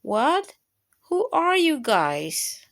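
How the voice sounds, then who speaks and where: A woman voicing a naive, excitable young schoolboy from a picture book, with an innocent, exclamatory delivery. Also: sits high, drawn-out words, pitched above the performer's own voice, full character voice. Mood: baffled.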